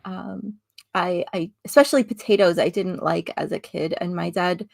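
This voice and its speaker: A woman's voice: on the low side, fairly even intonation, moderate pace, gravelly, no echo, studio quality. A woman hosting a casual live chat, talking chattily about herself and laughing at her own stories. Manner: chatty, self-deprecating, laughs often. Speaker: live stream host.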